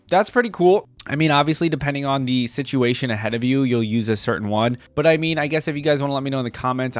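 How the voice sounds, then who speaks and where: A man hosting a reaction video in a casual, chatty style, informally thinking out loud as he comments and reads bits aloud. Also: mid-range voice, no echo. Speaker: host of a reaction video series.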